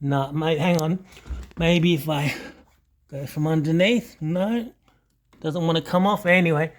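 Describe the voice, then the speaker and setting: A man's voice: a little high, measured pace. A man giving a casual, unscripted video walkthrough in a hesitant, rambling way, often correcting himself. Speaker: audio channel host. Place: indoors, small home study.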